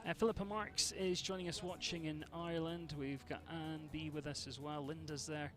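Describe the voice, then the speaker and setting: A man's voice: a little high, fairly even intonation, normal speed, over music. A man from Scotland with a Scottish accent, giving chatty live commentary on a show jumping event and greeting viewers by name.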